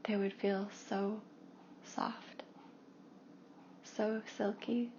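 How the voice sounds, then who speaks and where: Slow, hushed woman guiding a meditation. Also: mid-range voice, flat delivery, vocal fry. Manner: hushed, soothing, drawn-out phrases with long pauses. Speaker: meditation guide and Reiki practitioner. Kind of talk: guided meditation narration. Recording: dull lo-fi with faint background noise.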